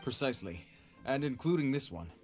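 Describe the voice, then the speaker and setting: A man's voice: mid-range voice, sing-song, brisk, soft background music, lightly acted. A man voicing an earnest, easily flustered guard on patrol in a video game, speaking formally and hesitantly. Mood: stern, cautionary. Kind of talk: video game character dialogue.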